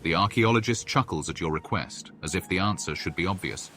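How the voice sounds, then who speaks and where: A man's voice: low voice, a little fast, pushed and bright, slight background noise. A man playing a solemn storyteller, reading scripted narration for a fantasy video game.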